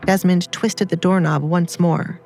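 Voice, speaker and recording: A woman's voice: on the low side, steady tone, a little slow, darkish tone. A woman narrating an audiobook drama in a scripted storytelling style.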